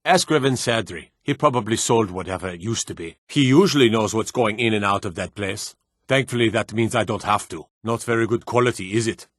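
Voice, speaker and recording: An actor playing a weary, gruff fantasy city guardsman in a video game, speaking in a serious, measured way. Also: lowish voice, a bit bright, no room sound, studio quality. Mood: matter-of-fact. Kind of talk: video game character dialogue.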